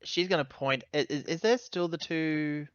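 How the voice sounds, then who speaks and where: A man's voice: on the high side, normal speed, smooth, no echo, dull audio. A man chatting casually as a player in a tabletop game, describing his character's actions.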